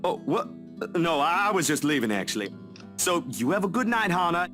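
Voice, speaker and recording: A bashful, lively young man. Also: fairly high pitch, fairly quick. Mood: bashful, friendly. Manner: casual, bashful. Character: Zachary, friendly bashful young male photographer. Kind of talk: visual novel voice acting. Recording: music playing behind.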